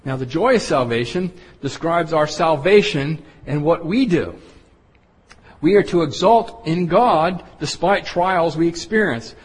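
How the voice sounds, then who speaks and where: Earnest male Bible teacher, slightly high voice, explains point by point; reverberant hall. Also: expressive, a little slow, husky. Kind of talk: Bible study sermon.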